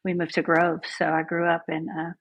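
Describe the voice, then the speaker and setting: A woman. Her voice is husky and a little breathy, and sits on the low side. She talks as a chatty, upbeat guest recounting her own life. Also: completely flat delivery, fairly quick. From Southeast Texas. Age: 40s. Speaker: guest band director. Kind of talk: casual podcast interview.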